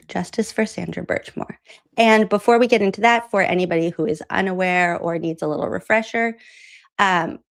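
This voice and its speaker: A woman from Massachusetts hosting a political commentary show, chatty and sarcastic, laughing often. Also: medium pitch. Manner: sarcastic, incredulous. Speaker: host.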